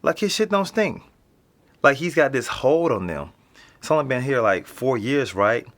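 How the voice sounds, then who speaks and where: A man's voice: medium pitch, big pitch swings, normal speed, fairly bright tone. An actor playing a wary young male tenant who talks casually, swears and sounds uneasy. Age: young adult. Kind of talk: audio drama acting.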